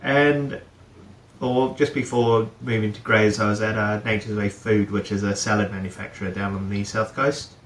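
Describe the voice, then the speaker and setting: A man's voice: on the low side, fairly even intonation, moderate pace, echoey room, poor audio. A middle-aged man from New Zealand, a guest talking casually about his career, with many fillers and restarts. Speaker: guest, head of procurement. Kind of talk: casual interview chat.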